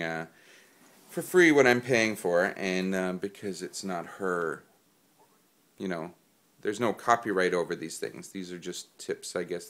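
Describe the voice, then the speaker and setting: A low-voiced man speaks to his viewers while still stuffed up from a cold. He rambles a bit, with lots of ums and restarts, in a casual, chatty way. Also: a bit bright. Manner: rambling with many fillers. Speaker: singing vlogger. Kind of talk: casual spoken tips to camera.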